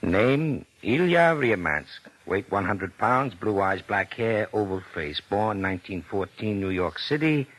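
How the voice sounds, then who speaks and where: A man playing a suspicious, insistent police detective in a 1940s radio drama, with a husky voice and clipped, accusing delivery. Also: fairly low pitch, expressive, a little slow, hardly any pauses, fairly bright tone, rough recording. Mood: deliberate, needling. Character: pressing, suspicious male police detective interrogating suspects.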